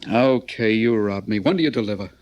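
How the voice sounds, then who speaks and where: An actor playing a wary, complaining middle-aged drug buyer in slangy 1940s radio crime style. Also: male voice, fairly low pitch, brisk, a little mellow, highs cut off, close to an everyday voice. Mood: indignant. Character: grumbling, nervy middle-aged male American drug buyer, Sonny.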